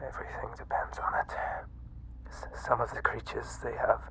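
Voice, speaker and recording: A man voicing a frail, obsessive mad scientist with a breathy, halting delivery in an ASMR horror roleplay. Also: pushed and bright, lightly acted, rough recording. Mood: secretive, persuasive. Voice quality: breathy, whispery. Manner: halting, theatrical. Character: weak, obsessive mad scientist doctor running a monster containment site.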